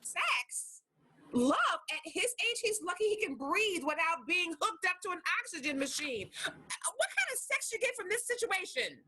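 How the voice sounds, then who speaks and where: A woman's voice: very high-pitched, big pitch swings, normal speed, pushed and bright, heavily acted, low-quality recording. A woman playing a blunt, sarcastic, exasperated goddess in a comedy table reading, incredulous and confrontational.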